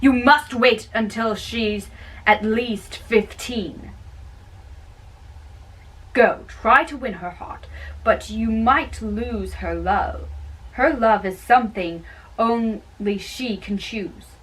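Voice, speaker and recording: A woman doing an amateur dramatic reading of a play, haltingly, often repeating and correcting her lines. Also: fairly high pitch, fairly bright tone, husky, recorded from a distance, rough recording.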